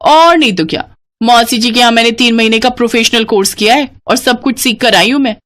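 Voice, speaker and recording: Casting: snobbish young village heiress played by a female narrator, highish voice, bragging and scornful, for a moral storybook tale. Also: brisk, a bit bright, close to an everyday voice. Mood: boastful. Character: boastful, snobbish young woman, village landlord's daughter.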